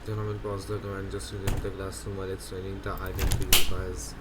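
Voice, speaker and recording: A man's voice: low voice, completely flat delivery, fast talker, very noisy. A man giving a casual, rambling walkthrough commentary of a video game. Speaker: gaming video host. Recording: loud game music under the voice. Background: loud game music.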